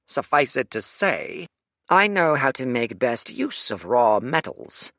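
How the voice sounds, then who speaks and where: A man's voice: medium pitch, sing-song, slow pace, gravelly, fairly bright tone, dry recording, clean studio sound, full character voice. A man doing a grand, scheming old lord. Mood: grandiose. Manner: declamatory, oratorical.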